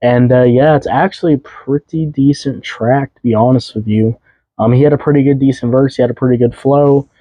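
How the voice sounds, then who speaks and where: A young man hosting a casual music review podcast, his voice a bit hoarse, rambling loosely with fillers and restarts. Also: medium pitch, steady tone, fairly quick, no room sound, lo-fi sound. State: voice slightly hoarse.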